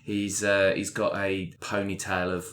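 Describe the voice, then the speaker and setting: A man improvising scene descriptions as a player, haltingly piling up details. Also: deep voice, completely flat delivery, fairly bright tone. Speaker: player in a roleplaying show.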